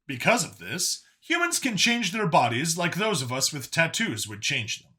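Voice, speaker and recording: A man's voice: a little high, huge pitch swings, moderate pace, sharp tone. A man reading a scripted science fiction story as a dry, wry briefing, in an expository narrator's delivery. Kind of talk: scripted dramatic story narration as an alien official giving a briefing.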